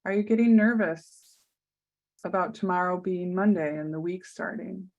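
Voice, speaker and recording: A woman leading a guided meditation, speaking softly and soothingly with long pauses. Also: lowish voice, muffled recording.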